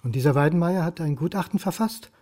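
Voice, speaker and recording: A man's voice: a little high, fast talker, darkish tone, natural voice. An actor playing a brooding, indignant historian in a radio crime drama, terse and insistent as he presses for answers.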